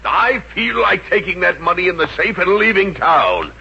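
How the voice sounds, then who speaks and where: An actor playing a meek, henpecked middle-aged American boss in a 1950s radio comedy, hesitant and stammering. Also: male voice, sits high, sing-song, moderate pace, vocal fry, sharp tone, a little hiss or hum, exaggerated voice. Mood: agitated, resolute. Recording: muffled 1950s radio recording.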